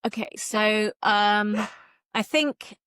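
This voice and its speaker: A woman's voice: medium pitch, slow, a bit bright, clean tone, dry recording. A woman giving a casual interview as a guest author, talking in a hesitant, self-interrupting way.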